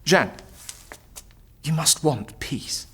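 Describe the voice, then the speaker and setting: A man playing a hesitant, peace-seeking French king in an audio drama, with gently formal, indulgent speech. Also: on the high side, expressive, drawn-out words, a little hiss or hum, full character voice. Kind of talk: historical audio drama acting.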